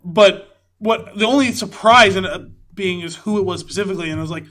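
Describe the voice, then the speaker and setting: A man with a notably high, forward and bright voice co-hosts a podcast. He talks in a conversational, analytical manner, casually critiquing a film. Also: fairly quick. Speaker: podcast co-host.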